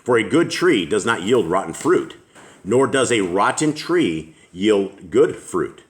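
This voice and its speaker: A middle-aged man with a deep voice, leading a home Bible study, reading scripture aloud slowly and asking questions. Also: sing-song.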